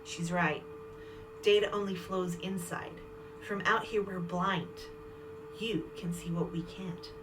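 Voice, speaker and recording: Woman as a low, echoing grim strategist. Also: fairly even intonation, slow pace. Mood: earnest. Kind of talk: sci-fi audio drama acting. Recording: very echoey hall, loud music.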